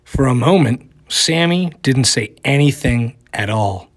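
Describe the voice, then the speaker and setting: A man's voice: mid-range voice, lively intonation, a little slow. A man speaking as a teacher to young children, in the style of a read-aloud lesson. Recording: echoey room with long reverb.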